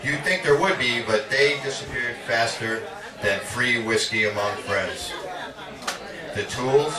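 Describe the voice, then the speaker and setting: A man reading poetry aloud at a live reading in a measured, dramatic spoken-word delivery. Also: medium pitch, crowd noise. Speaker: poet. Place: indoor literary cafe reading. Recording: echoey, distant live recording with audience. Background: audience sounds.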